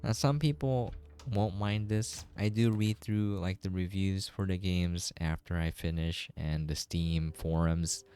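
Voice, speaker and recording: A man's voice: low voice, steady tone, measured pace, mellow, clean tone. A man giving casual, rambling gameplay commentary as a game reviewer, in a conversational way.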